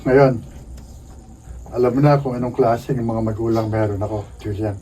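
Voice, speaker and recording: Man acting a cocky, mellow young college bully. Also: medium pitch, fairly even intonation, moderate pace, slight background noise, close to an everyday voice. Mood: menacing, scheming. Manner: cocky, domineering, Taglish slang. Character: rebellious, bullying young male college student. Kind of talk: radio drama acting.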